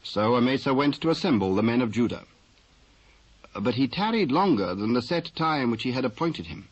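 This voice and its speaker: A British man narrating scripture from a script in a formal, measured storytelling manner. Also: medium pitch, very animated. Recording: muffled old cassette.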